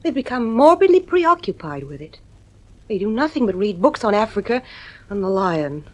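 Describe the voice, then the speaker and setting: A woman's voice: mid-range voice, very animated, moderate pace, muffled recording. An actress playing an anxious, nervy mother in a radio drama, earnest and insistent with her husband. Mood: fretful.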